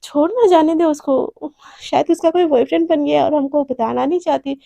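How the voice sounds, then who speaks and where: A woman's voice: high voice, brisk, gravelly, dark tone, dry recording. Woman doing a teasing, easygoing young friend. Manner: teasing, offhand.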